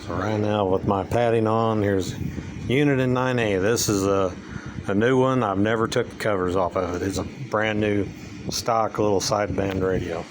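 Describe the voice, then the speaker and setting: A man with a fairly low voice gives an informal, hands-on demonstration. He talks plainly and folksily, with loose everyday grammar. Steady background noise is clearly audible behind him. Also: normal speed. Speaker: hobbyist presenter demonstrating radio gear.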